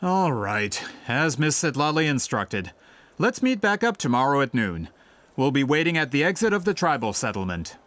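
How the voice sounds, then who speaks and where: A man playing a polite, flattering, persistent merchant in a video game, courteous and eager to please. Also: medium pitch, moderate pace. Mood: deferential.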